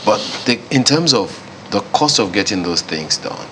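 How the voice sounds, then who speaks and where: A man's voice: lowish voice, very animated, moderate pace, sharp tone, slight background noise, poor audio. A man hosting a TV studio discussion, talking casually and searching for words with fillers. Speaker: TV talk show host.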